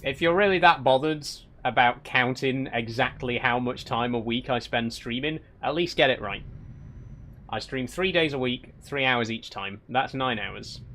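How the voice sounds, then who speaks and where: A man's voice: medium pitch, moderate pace, bright, forceful tone, a little hiss or hum. Chatty young Yorkshire man streaming. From Yorkshire, England. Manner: chatty, with frequent restarts and light wry teasing. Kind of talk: casual live-stream chat reading viewer comments.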